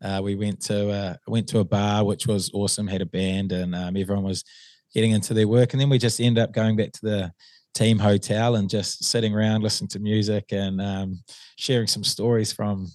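A New Zealand man with a fairly low, slightly hoarse voice, chatting as a guest. He hesitates a lot, with ums and false starts. The sound is dry and close, with no room around it. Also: level tone, fairly quick, darkish tone, no echo. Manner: hesitant, many ums and restarts. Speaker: assistant rugby coach, guest. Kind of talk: casual interview chat.

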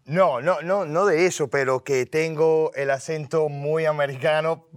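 A man's voice: fairly high pitch, steady tone, a little slow, dry recording. A man from the United States, an actor being interviewed, chatting informally and often restarting his sentences. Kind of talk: casual chat.

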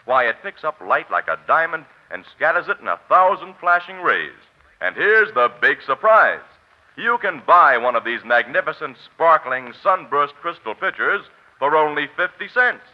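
A man's voice: fairly high pitch, sing-song, normal speed. An American man announcing and reading sponsor copy in a polished, declamatory 1940s radio style. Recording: muffled vintage radio recording, music behind.

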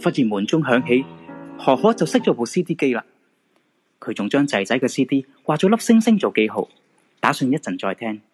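A man's voice: medium pitch, sing-song, fairly quick, music in the background, close to an everyday voice. A man with a Hong Kong Cantonese accent narrating a supernatural radio drama as a storyteller. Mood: suspenseful storytelling.

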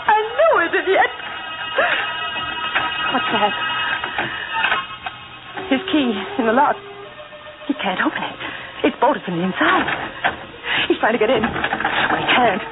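An actress playing a distraught, frightened wife in breathless, melodramatic old radio horror style. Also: female voice, high voice, animated, a little slow, pauses often, loud music behind the voice. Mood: agitated, then fearful, desperate. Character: distraught, frightened married woman haunted by her husband. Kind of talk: 1940s radio horror drama acting. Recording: scratchy, tinny old radio recording, heavy noise.

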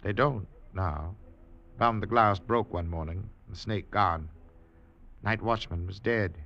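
An actor playing a gruff, middle-aged ship's captain, grudging and wary, speaking in clipped grumbles in an old radio drama. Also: male voice, fairly low pitch, slow pace, a bit bright, heavily acted. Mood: uneasy, grave. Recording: muffled vintage radio recording.

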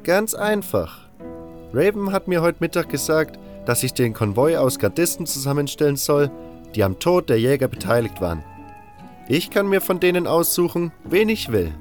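A man voicing a sardonic, rough-spoken camp organiser in a fantasy audiobook. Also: fairly high pitch, sing-song, normal speed, a little mellow, soft background music, pitched above the performer's own voice. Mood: explaining. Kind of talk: fantasy audiobook character dialogue.

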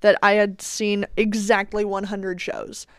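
A woman chats on a casual podcast, blunt and quick to swear. Her voice is smooth and clear. It is recorded dry and close. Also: medium pitch, level tone, dry recording. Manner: blunt, chatty, swears freely. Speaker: podcast co-host. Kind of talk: casual chat.

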